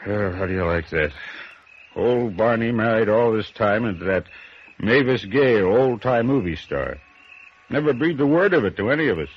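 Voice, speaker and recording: A man's voice: on the low side, lively intonation, moderate pace, husky. An American man playing a folksy, curious pier booth operator in a 1950s radio drama, chatty and hesitant in colloquial speech. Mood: amazed. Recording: dull, noisy old radio recording.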